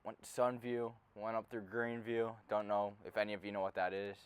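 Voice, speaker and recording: A man's voice: fairly low pitch, flat delivery, fairly quick, clear, resonant tone. A man of about eighteen co-hosting a podcast in casual chat, joking and slangy, often cutting in on the others. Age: around 18. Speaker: podcast co-host.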